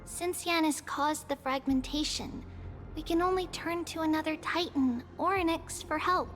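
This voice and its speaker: Very high, caring little girl. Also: normal speed, fairly bright tone. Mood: explaining. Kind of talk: video game voice acting. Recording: music behind the voice.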